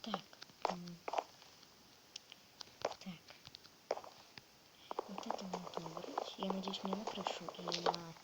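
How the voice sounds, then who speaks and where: Quiet, half-whispering woman with a sore throat. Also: lowish voice, steady tone, takes it slowly, pauses often. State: sore throat, slightly ill. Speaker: gamer narrating her play. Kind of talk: casual let's-play commentary. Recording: loud background noise.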